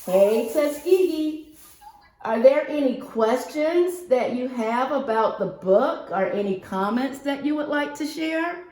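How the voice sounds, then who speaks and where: A woman reading a picture book aloud to young children, expressive and addressing them directly. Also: high voice, takes it slowly. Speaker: guest picture-book reader for a school class. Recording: roomy, echoing virtual-reading audio.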